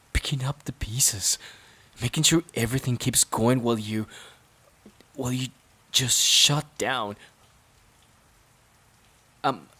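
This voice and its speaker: A man acting in an emotional audio drama as an exhausted, resentful boyfriend, halting and pleading with a breathy voice that often drops close to a whisper. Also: mid-range voice, bright, forceful tone. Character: exhausted, resentful boyfriend at his breaking point.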